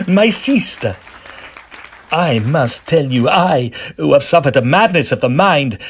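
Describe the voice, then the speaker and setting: Guy as sentimental victorian music gent, humble florid speech, highish voice, faint group in background, radio play. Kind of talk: period audio drama acting.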